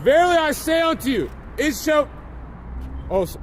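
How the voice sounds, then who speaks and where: Male outdoor preacher, very high pitch, slightly hoarse with a coming cold, emphatic drawn-out scripture delivery, windy noisy livestream sound. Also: big pitch swings. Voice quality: slightly raspy. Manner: emphatic, drawn-out declaiming when reading verses. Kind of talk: loud outdoor sermon with scripture reading. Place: outdoors in strong wind.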